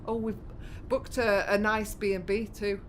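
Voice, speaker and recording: An actress playing a wistful, caring middle-aged British daughter in a naturalistic audio drama, speaking gently and reflectively. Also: female voice, medium pitch, level tone, unhurried, slight background noise, close to an everyday voice. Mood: reassuring.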